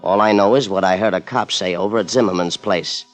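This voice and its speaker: Low-pitched guarded American crook, played by a man. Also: a little fast, faint music behind, close to an everyday voice. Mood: insistent. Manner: hesitant, self-justifying. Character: guarded male crook, smooth then defensive suspect. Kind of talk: 1950s radio crime drama acting.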